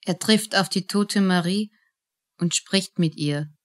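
A woman's voice: on the low side, slow pace. A woman narrating a radio drama in scripted storytelling style.

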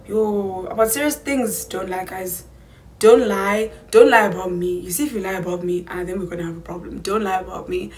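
A woman's voice: mid-range voice, slight background noise. A twenty-year-old woman with a South African accent, chatting emphatically to camera in a casual Q&A vlog from a small room. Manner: chatty, emphatic, slangy asides. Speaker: YouTuber.

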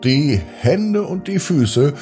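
A man hosting a children's podcast, narrating expressively and gently with drawn-out emphasis. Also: a little high, expressive, soft background music. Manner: gentle, drawn-out emphasis, addressing young listeners. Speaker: children's podcast host and storyteller.

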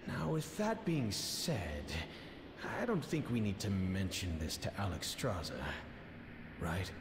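A man's voice: low voice, big pitch swings, takes it slowly, background noise, exaggerated voice. An actor playing a friendly, sheepish male companion in a fantasy game, chatty and conversational. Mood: sheepish relief. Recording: loud game ambience over voice.

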